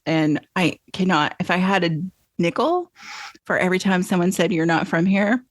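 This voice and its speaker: A woman's voice: on the low side, normal speed, no room sound, clean studio sound. A podcast host, a woman with a mild Southern American accent, chatting casually and telling anecdotes with frequent yeahs. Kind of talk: casual conversational interview.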